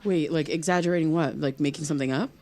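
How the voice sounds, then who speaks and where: A woman's voice: sits low, darkish tone, natural voice. Hesitant, guarded young woman, played by an actress. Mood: puzzled. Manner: hesitant, halting. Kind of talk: naturalistic audio drama dialogue.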